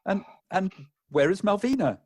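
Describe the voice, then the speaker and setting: A man's voice: high voice, expressive, moderate pace, a little mellow, husky, no room sound. A man playing a gloomy, anxious jilted bridegroom in a comic farce, plaintive and formal. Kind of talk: comic stage farce acting.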